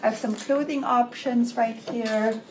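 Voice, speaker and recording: A woman's voice: a little high, fairly even intonation, unhurried, a little mellow. Middle-aged woman vlogging on her phone, chatty and upbeat, sounds a bit far off with noise behind. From Austria. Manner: chatty and upbeat, with fillers like "you know". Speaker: makeup artist and beauty brand founder. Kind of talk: casual vlog and product interview. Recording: distant phone mic with background noise.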